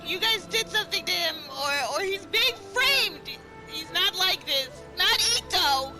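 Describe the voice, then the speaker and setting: A woman's voice: extremely high pitch, animated, measured pace. A woman streaming a video game with casual, playfully dramatic reactions. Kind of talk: casual reactive gameplay commentary. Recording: game music under the voice.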